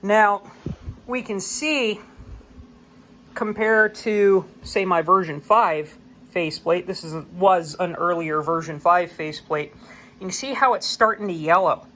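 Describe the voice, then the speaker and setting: A man's voice: sits high, a little slow, sharp tone. An American man giving a casual, unscripted explanation as a hobbyist video host, in a folksy, plain-spoken way.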